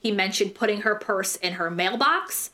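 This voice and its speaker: A woman's voice: mid-range voice, normal speed, sharp tone. A young American woman hosting a true crime podcast, telling the story casually in slangy, irreverent language and swearing freely.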